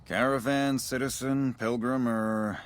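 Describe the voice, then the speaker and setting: A man's voice: mid-range voice, fairly even intonation, unhurried, no room sound, close to an everyday voice. A man voicing a weary, matter-of-fact army major stationed at a desert border outpost in a video game. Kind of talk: video game dialogue acting.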